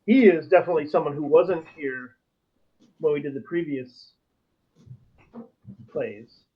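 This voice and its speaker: A man's voice: a little high, a little slow, breathy edge. A man co-hosting a podcast in a casual, chatty manner. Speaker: podcast co-host. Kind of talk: casual chat. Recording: thin, phone-like connection.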